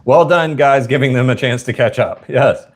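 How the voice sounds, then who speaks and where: A man's voice: medium pitch, moderate pace. A man with a Southern US accent, hosting a live stream at home in a folksy, rambling chat. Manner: rambling, folksy, says y'all and ain't. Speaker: livestream host.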